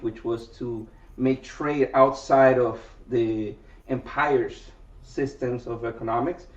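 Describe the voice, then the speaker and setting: Halting Venezuelan-born man, roomy distant sound. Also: mid-range voice, dull audio. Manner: halting, many fillers and restarts. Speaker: guest, cook. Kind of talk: casual interview answers.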